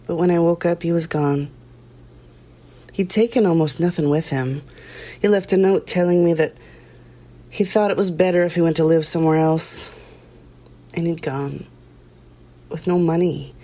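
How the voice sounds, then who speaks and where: An actress playing a plain-spoken, guilt-ridden small-town woman giving halting, confessional testimony in an audio drama. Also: lowish voice, warm tone.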